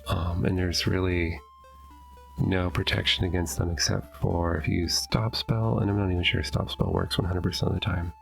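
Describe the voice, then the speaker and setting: A man's voice: deep voice, level tone, normal speed, vocal fry, soft background music. A middle-aged man with a stuffy nose, casually thinking aloud while commenting on his gameplay from his home basement. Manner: thinking aloud, hesitant, self-correcting. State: stuffy, sniffling. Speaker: let's play host.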